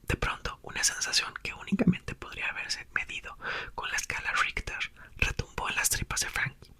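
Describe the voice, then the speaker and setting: A young man, host of his channel, whispering a book aloud and chatting softly to viewers. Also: moderate pace, dry recording. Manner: soft, whispered throughout. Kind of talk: ASMR reading and chat.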